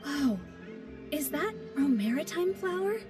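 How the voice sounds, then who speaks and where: A gossipy, wide-eyed female bystander from a video game. Her high voice is unhurried and hesitant. She sounds slightly distant, with music underneath. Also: animated. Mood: awed. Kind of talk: video game character acting.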